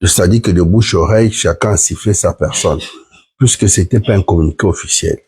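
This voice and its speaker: A man's voice: sits low, a little fast, a little mellow, slightly rough. A man, sick with the flu, earnestly explaining point by point to viewers in a live stream. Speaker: platform member hosting a live stream.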